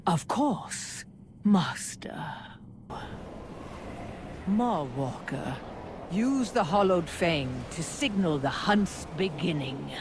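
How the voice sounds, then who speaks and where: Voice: mid-range voice, heavily acted. An actor playing a cruel, servile huntmaster who relishes fear, menacing and contemptuous, in a video game cinematic. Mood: servile menace. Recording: music behind the voice.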